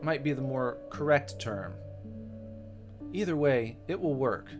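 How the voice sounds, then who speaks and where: A man's voice: medium pitch, animated, unhurried, natural voice. A man voicing a composed, matter-of-fact young woman who is caring and determined, in a dramatic visual novel reading. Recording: music behind the voice.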